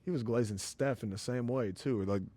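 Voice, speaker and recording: A man co-hosting a casual sports podcast, talking fast and getting animated, his voice jumping up high when excited. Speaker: podcast co-host.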